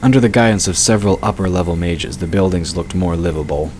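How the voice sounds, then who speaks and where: A man's voice: low voice, steady tone, fast talker, a little mellow, slight background noise. A man reading scripted fantasy narration as the narrator of an audio drama.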